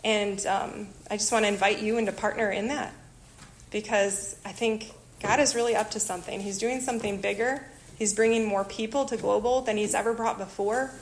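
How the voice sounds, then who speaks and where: A woman from Illinois with a Chicago accent, giving a chatty, animated church talk to a group about a missionary kids program. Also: mid-range voice, normal speed, a little hiss or hum, rough recording. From Illinois, USA. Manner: chatty, animated, self-deprecating asides. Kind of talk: informal church presentation to a group.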